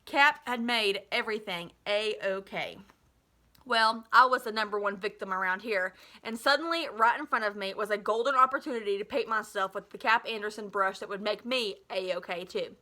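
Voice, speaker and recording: A woman's voice: on the high side, a little fast, pushed and bright. A woman in her thirties reading a children's novel aloud expressively, like a teacher, with dramatic delivery and the odd self-correction, recorded in a living room. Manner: dramatic delivery, slips and corrects herself with asides. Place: small living room at home, indoors.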